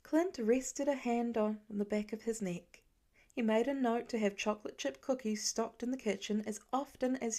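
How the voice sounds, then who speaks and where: A woman reading scripted fiction as an audiobook narrator, in an expressive and careful manner. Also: fairly high pitch.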